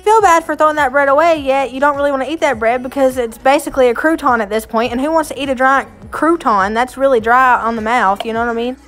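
A woman's voice: sits high, fast talker, few pauses, a bit bright, faint music behind. A woman in her thirties with a Southern US accent, chatting in a self-mocking way in a home vlog voice-over. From Smoky Mountains area, USA. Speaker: vlogger. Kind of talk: casual voice-over chat.

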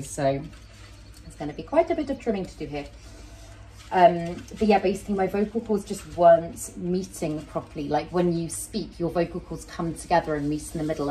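A woman in her thirties with a deep voice, chatting casually and rambling to camera as a plant channel host while she repots a plant in her small home kitchen. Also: mellow, low-quality recording. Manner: chatty, rambling, self-interrupting; handling soil and roots while talking to camera. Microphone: fixed camera at medium distance.

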